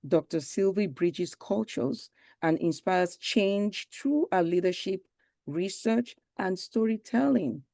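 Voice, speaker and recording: A woman's voice: mid-range voice, expressive, slow, gravelly, warm tone, no echo, studio quality. A woman moderating a conference session, introducing the guest formally and welcoming her, with "um" fillers.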